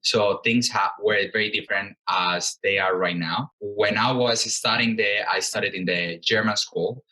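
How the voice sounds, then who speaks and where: A man's voice: on the low side, steady tone, normal speed, hardly any pauses, sharp tone, poor audio. A man in his thirties from Colombia, whose first language is Spanish, answering interview questions thoughtfully, with frequent fillers and restarts. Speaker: guest, company co-founder.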